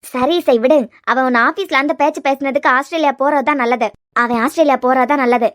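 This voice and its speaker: A woman's voice: high-pitched, fairly even intonation, brisk, lightly acted. An actress playing a motherly middle-aged aunty in a TV serial, consoling a crying girl in a firm voice. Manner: firm, consoling, scolding lightly. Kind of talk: TV serial acting.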